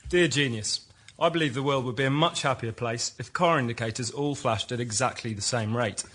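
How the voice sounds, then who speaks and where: A man's voice: medium pitch, a little fast, fairly bright tone. A man reading a listener's letter aloud on a comedy show.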